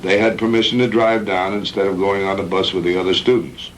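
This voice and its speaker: A man playing a calm, frank middle-aged hospital doctor in a measured, matter-of-fact 1960s B-movie style. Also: sits low, close to an everyday voice. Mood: grave, recounting. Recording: noisy old 1960s film soundtrack.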